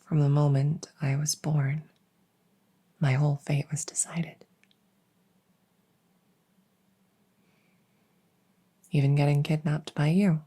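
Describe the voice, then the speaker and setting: A woman's voice: deep voice, warm tone, husky, full character voice. A woman playing a world-weary young princess in an intimate audio roleplay, speaking hesitantly and confidingly, sometimes close to a whisper. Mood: hurt, resigned. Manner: hesitant, halting, confiding, with trailing pauses.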